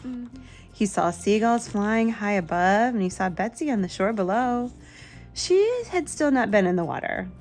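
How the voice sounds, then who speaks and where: A woman's voice: mid-range voice, expressive, moderate pace, a little mellow, faint music behind. A woman reading a children's picture book aloud expressively, with simple asides to a young listener. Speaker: children's book reader, channel host.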